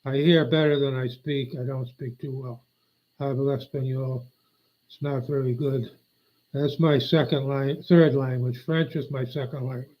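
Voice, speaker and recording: A man's voice: medium pitch, steady tone, moderate pace. An older man rambling on a live stream, meandering and aggrieved, often losing his train of thought. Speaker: livestreamer. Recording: dull lo-fi audio.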